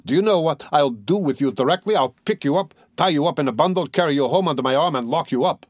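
A man reading an audiobook as a hot-tempered, bossy young man who scolds loudly and fires off demands. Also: medium pitch, lively intonation, no echo, studio quality, natural voice. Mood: indignant, threatening. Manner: loud scolding, rapid-fire demands. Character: hot-tempered, blustering young male friend, bossy and loud. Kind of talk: dramatised audiobook dialogue.

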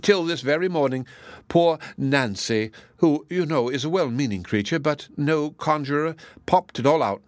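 A man's voice: on the high side, animated, moderate pace, no room sound, voice pushed up, close to an everyday voice. A man voicing a chatty, good-hearted older matron in an audiobook, gossiping in a rambling, exclamatory and indignant way. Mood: astonished, gossipy. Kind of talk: audiobook character dialogue.

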